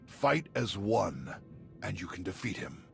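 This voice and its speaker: A man playing a courteous, resolute old commander in a sci-fi game, speaking formally and deliberately with a gravelly voice. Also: deep voice, big pitch swings, a bit bright, soft background music, exaggerated voice. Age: older.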